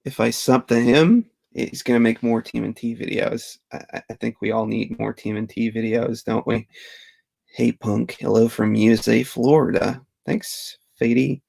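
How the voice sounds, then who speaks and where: A man's voice: mid-range voice, fairly even intonation, moderate pace, gravelly, darkish tone. A man, hosting a live question-and-answer stream, chatting casually and chuckling as he reads viewer comments. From Belgium. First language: Dutch. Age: 30s. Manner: chatty, chuckles often, restarts words. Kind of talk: casual livestream chat reading viewer comments.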